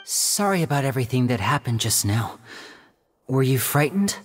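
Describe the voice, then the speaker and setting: An actor playing a polite, earnest young male stage magician in a video game, speaking in a courteous, measured and gently theatrical way. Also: medium pitch, big pitch swings, faint music behind, close to an everyday voice. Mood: troubled, earnest.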